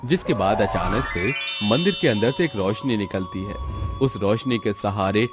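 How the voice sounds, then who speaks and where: A man's voice: lowish voice, expressive, normal speed, over music. A man narrating a scripted moral story as the storyteller.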